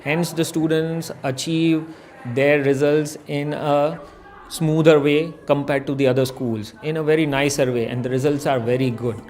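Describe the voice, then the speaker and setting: Higher-pitched male teacher over music. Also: steady tone, moderate pace, darkish tone. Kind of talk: interview testimonial.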